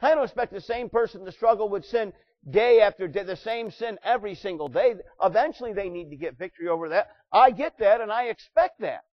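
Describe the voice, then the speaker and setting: Pastor guy preaching, kinda high voice, loud then soft, muffled lo-fi church tape. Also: brisk. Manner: loud preaching voice with wide swings in volume. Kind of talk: sermon. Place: church sanctuary.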